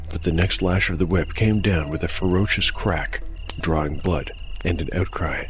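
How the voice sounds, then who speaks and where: Deep-voiced male fantasy storyteller. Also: a bit bright. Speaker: host and narrator of a solo tabletop fantasy audiodrama. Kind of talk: scripted narration, dark fantasy storytelling and game commentary.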